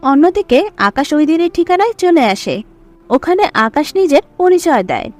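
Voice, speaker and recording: A female storyteller reads scripted narration. Her voice is high and moves up and down in a very lively way. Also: normal speed.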